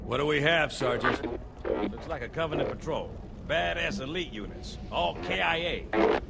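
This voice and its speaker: An actor playing a gruff, seasoned, middle-aged sergeant in a video game, sardonic and used to giving orders. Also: male voice, a little high, moderate pace, very noisy. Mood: grim, dry. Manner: barking, sardonic, authoritative. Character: gruff, seasoned, commanding military sergeant, male. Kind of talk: video game cutscene acting. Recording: loud music over the voice.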